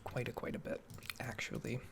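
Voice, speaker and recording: A man giving a casual, soft-spoken ASMR ramble over gameplay, hesitant and understated as he meanders from thought to thought. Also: brisk. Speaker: gaming video creator. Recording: faint background noise, keyboard typing, clicking. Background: soft computer keyboard typing and mouse clicks.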